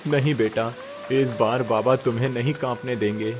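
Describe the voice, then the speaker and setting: A man's voice: medium pitch, fairly even intonation, normal speed, background noise, lightly acted. A man playing a poor, proud village labourer father, tender and resolute, in an earnest moral-story style. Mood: gentle, determined. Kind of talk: Hindi moral story voice acting. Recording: music behind the voice.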